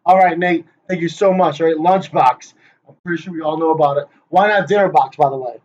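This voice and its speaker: Hyped joking man, high voice. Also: brisk, darkish tone. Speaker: presenter. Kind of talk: rehearsing a playful conference talk. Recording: dull lo-fi recording.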